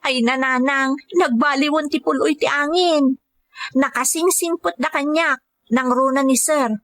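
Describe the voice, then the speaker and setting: A woman's voice: high-pitched, steady tone, a little slow, fairly bright tone. A woman with an Ilocano accent playing a devoted, grateful daughter working abroad as a caregiver in a radio drama, speaking earnestly and respectfully. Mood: respectful, reassuring.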